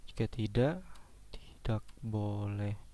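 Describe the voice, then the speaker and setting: Slightly hoarse man teaching coding, halting. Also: fairly low pitch, mellow. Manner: casual, halting, many fillers and restarts. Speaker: programming lecturer. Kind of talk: screen-recorded coding lesson.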